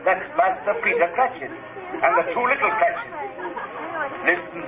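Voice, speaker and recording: An actor playing a grave, knowing Christmas spirit in a vintage radio drama. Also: male voice, sits high, expressive, normal speed, a crowd in the background. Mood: solemn. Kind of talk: 1930s radio play acting. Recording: noisy tinny vintage radio broadcast.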